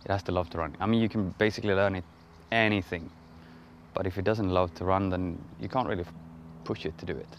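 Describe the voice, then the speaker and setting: Deep-voiced Swedish man, enthusiastic trainer. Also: fairly even intonation, fast, highs cut off, a little hiss or hum. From Sweden. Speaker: national team trainer. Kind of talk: interview.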